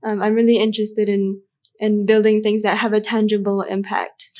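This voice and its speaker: A woman's voice: mid-range voice, level tone, moderate pace, a little mellow. A young woman from Malaysia, a student guest in an interview, answering thoughtfully with frequent fillers.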